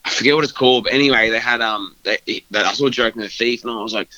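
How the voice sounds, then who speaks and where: A man's voice: medium pitch, quick pace, bright, forceful tone, dry recording, dull audio. A young man talking casually in an interview, loose and rambling with lots of fillers. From Australia. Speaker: guest band vocalist.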